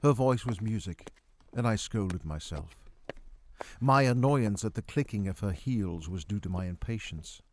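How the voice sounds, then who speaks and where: A man delivers theatrical, first-person story narration in the role of a miserly, befuddled doctor. His literary phrasing is highly expressive, with pitch that moves widely. Faint noise sits behind the voice. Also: a little slow. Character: miserly, befuddled 45-year-old male doctor recounting his own tale.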